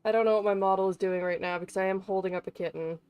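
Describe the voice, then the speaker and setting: A woman's voice: medium pitch, steady tone, rapid-fire, a little mellow, clear, resonant tone, dry recording. A woman livestreamer chatting casually to viewers in a playful, chatty way. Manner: playful, chatty, talks to pets. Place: bedroom at home.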